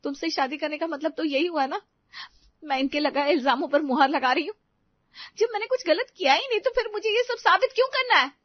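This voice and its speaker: A woman's voice: sits high, brisk, dry recording, muffled recording, pitched above the performer's own voice, natural voice. A woman voicing an anguished but defiant young widowed mother in a melodramatic audio story, emotional and protesting. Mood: anguished outrage.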